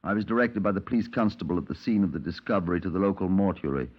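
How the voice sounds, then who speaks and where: Low low british detective sergeant, clipped and understated, old radio play, muffled and echoey. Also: male voice, rapid-fire. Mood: matter-of-fact. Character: laconic, matter-of-fact Scotland Yard detective sergeant. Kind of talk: 1950s radio drama acting. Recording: dull, reverberant 1950s radio recording.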